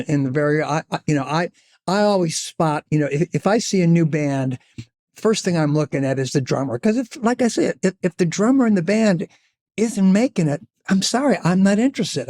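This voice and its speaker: A man's voice: fairly high pitch, a little mellow. A man in his seventies, a guest singer on a podcast, telling stories in a rambling, casual way and searching for his words. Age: 70s. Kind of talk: casual storytelling chat.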